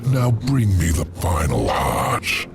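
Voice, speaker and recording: Deep gravelly sly demon lord. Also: male voice, very animated, a little hiss or hum, full character voice. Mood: taunting warning. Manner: slow, taunting, theatrical. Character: sly, menacing ancient demon lord, smug and scheming. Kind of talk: video game cutscene acting. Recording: music under the voice.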